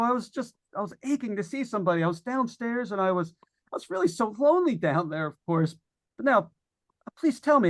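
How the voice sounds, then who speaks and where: A man playing a nervous, lonely radio broadcaster unravelling in a haunted house, stammering and rambling in 1940s radio suspense style. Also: high voice. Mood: desperate relief. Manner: stammering, halting, rambling with repeated words. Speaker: actor in old-time radio play. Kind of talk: 1940s radio suspense acting, live monologue.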